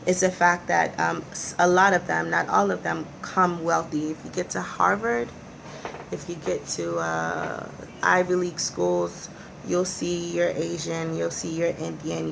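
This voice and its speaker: A young woman from the United States talks loosely to camera, pausing on fillers and restarting her sentences as she checks her notes. Her voice sits on the low side and is heavily gravelly. A steady hiss of noise runs under the recording. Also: poor audio. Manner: conversational, many fillers and restarts, reading from notes. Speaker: real estate company presenter. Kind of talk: casual talk to camera. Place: in Nigeria.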